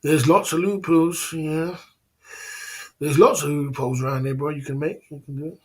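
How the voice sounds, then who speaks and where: Middle-aged man, slightly high voice, brags and repeats himself on a livestream. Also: steady tone, normal speed. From England. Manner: repetitive, boastful, acts out dialogues. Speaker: livestream host. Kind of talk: casual livestream talk.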